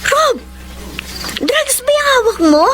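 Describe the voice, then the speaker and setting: A woman acting a sweet, anxious young woman in love in a Filipino radio drama, expressive and pleading, with a Filipino accent. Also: extremely high pitch, slow, exaggerated voice. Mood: worried, upset. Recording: music under the voice.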